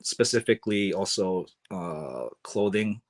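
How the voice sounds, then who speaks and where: A man's voice: sits low, expressive, unhurried. A man in a casual podcast conversation, speaking thoughtfully with many fillers and restarts.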